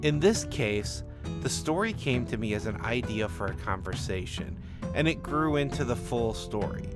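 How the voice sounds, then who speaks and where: A man's voice: medium pitch, expressive, moderate pace, over music. A man working as author and narrator, reading stories and commenting on his writing.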